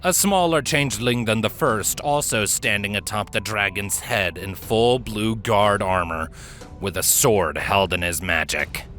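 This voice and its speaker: Bright-voiced man narrating fantasy fiction. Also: fairly low pitch, measured pace. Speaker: story narrator. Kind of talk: dramatic scripted fiction narration. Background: background music.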